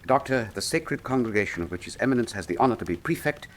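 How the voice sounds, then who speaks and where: An actor playing a shrewd, urbane, wry detective in a radio drama, speaking formally and precisely with a theatrical, teasing manner. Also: male voice, on the low side, lively intonation, quick pace, slight background noise, close to an everyday voice. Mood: dry, knowing. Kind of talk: full-cast radio drama acting.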